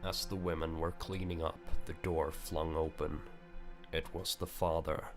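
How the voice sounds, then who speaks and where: A man reading a visual novel aloud as narrator, in a grave, deliberate storytelling manner. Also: a bass voice, little pitch movement, over music.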